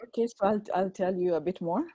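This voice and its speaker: Soft-spoken woman, French native speaker. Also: lowish voice, a little fast, noisy background. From Rwanda. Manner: soft-spoken, hesitant with many ums. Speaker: guest, visual artist. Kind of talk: casual video call interview. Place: home room indoors. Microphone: earphones on video call.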